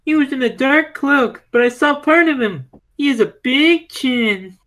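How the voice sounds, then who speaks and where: A man voicing a nervous, childish fat glutton with a loud, whiny, anxious manner in a comic story. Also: very high voice, a little slow, pitched above the performer's own voice, full character voice. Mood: uneasy.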